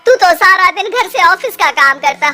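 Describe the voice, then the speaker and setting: A woman's voice: extremely high pitch, expressive, normal speed, pushed and bright, faint music behind, pitched above the performer's own voice, full character voice. A woman voicing an affectionate older mother-in-law in a cartoon story, coaxing and fussing with many exclamations. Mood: reassuring.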